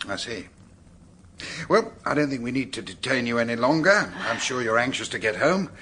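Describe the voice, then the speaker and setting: Brisk British police superintendent, forceful, husky. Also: male voice, mid-range voice, lively intonation. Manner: formal, businesslike. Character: brisk, courteous police chief superintendent questioning witnesses. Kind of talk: British radio drama acting.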